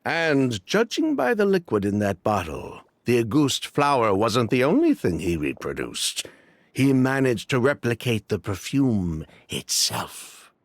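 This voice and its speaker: An actor playing an evasive ex-convict perfume swindler in a video game, speaking in a theatrical, glib, defensive way. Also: male voice, mid-range voice, wildly swooping pitch, unhurried.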